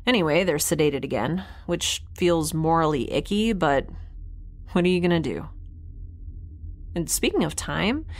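A woman's voice: lowish voice, a little hiss or hum. An actress playing a candid young woman scientist in a sci-fi audio drama, recording a rambling, hesitant log with dry wit. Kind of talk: audio diary narration in scripted drama.

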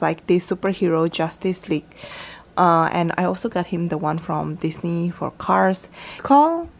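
A young mother chatting through a home vlog in her living room, explaining toys step by step in a casual, talkative way, recorded on a handheld camera. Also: on the low side. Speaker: parenting vlogger, mother. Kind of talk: casual how-to vlog narration. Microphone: handheld camera filming from above, speaker off screen.

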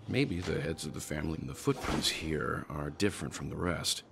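An actor playing a calm, cautious middle-aged gentleman traveler in a space-fantasy game, with a deliberate, reserved delivery. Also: background noise. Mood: calm, wary. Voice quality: deep, gravelly. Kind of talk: scripted game voice acting. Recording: game music behind the voice.

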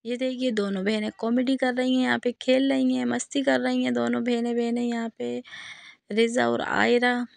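A woman's voice: a little high, little pitch movement, normal speed, gravelly, no echo. A woman, narrating a family vlog in a casual way. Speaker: vlogger.

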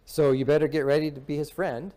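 A man's voice: fairly high pitch, fairly even intonation, fast talker, dark tone. A man in his forties, a visiting minister preaching a sermon from a church pulpit, explaining earnestly with emphatic lists. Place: church sanctuary, at a wooden pulpit.

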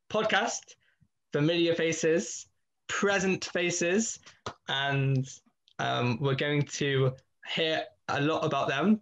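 A man hosting a podcast, opening with lively, loose, rambling introductions. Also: a little high. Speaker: podcast host.

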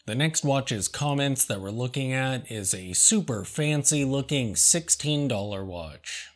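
Slow, dry-humored man over soft music. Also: mid-range voice, expressive. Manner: dry, sarcastic, slow and deliberate. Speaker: host. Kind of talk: deadpan comedic commentary reading reviews.

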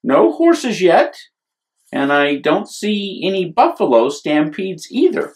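Man reading as a wide-eyed American boy, unhurried. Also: high voice, big pitch swings, slight background noise, voice pushed up, heavily acted. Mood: excited wonder. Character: wide-eyed, imaginative young boy moving out west. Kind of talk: picture book storytelling.

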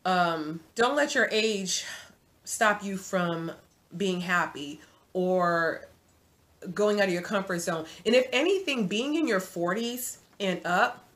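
A woman in her forties giving casual dating advice to viewers from her home, chatty and animated, calling the viewer sis. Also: medium pitch, slow pace. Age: 40s. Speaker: vlogger. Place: home room, indoors.

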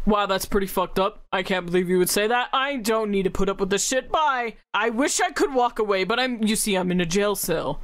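A woman playing a flippant, rambling, easily indignant pirate in an improvised comic film dub, halting and sarcastic. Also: mid-range voice, natural voice. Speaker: improv fandub voice actor.

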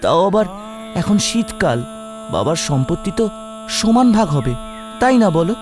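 Young, humble farmer brother for cartoon, played by a man: high, lilting, earnest and pleading. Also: very animated, moderate pace, over music. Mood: plaintive. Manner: respectful, plaintive.

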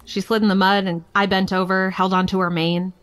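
A woman's voice: medium pitch, flat delivery, brisk, a bit bright, soft background music, lightly acted. An actress playing a defiant, playful young woman telling her story in a frank, wry, casual way for an audio drama. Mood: defiant, excited.

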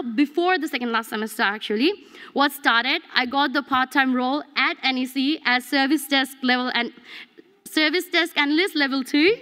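High bright woman's voice in a reverberant hall. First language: not English. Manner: earnest, reads from notes with small restarts. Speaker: graduate student speaker. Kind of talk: prepared graduation speech. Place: large convention hall, at a lectern. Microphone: lectern mic.

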